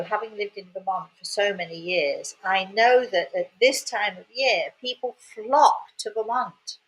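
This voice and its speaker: A woman's voice: mid-range voice, a bit bright, poor audio. An older Englishwoman hosts her show and tells a casual story. She speaks with a chatty, rambling manner. She often repeats words and drops in cheerful asides. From England. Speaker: host.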